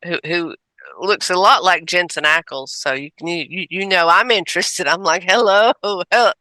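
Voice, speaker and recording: Bright-voiced Southern woman, chatty drawl. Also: on the low side, normal speed, no room sound. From Georgia, US. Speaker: co-host. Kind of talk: casual podcast chat.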